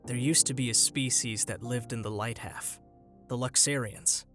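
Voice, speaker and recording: A man's voice: mid-range voice, steady tone, normal speed, close to an everyday voice. Man voicing an earnest young alien historian. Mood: reflective, earnest. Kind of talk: animated film voice-over narration and dialogue. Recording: music under the voice.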